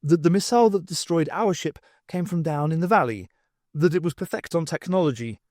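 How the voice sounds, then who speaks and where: A man voicing an earnest female senior academic in an audiobook, emphatic and measured. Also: fairly high pitch, animated, a little mellow, dry recording, clean studio sound, pitched above the performer's own voice, natural voice. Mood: concerned, emphatic.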